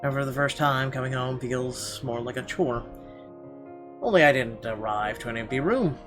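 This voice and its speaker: A man reading a visual novel aloud as dramatic first-person narration, expressive with occasional stumbles. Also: mid-range voice, normal speed. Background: guitar music.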